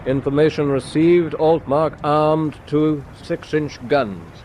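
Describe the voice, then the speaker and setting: An actor playing a firm, composed British destroyer captain in a radio drama, measured and authoritative. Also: male voice, on the high side, darkish tone, slight background noise. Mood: composed, brisk.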